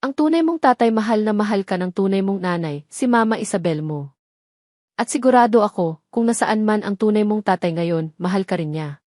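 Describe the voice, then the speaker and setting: Tender, earnest Filipino father and suitor for audio romance played by a woman, measured delivery, dry recording. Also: medium pitch, animated, darkish tone, dry recording, close to an everyday voice. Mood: gentle, reassuring.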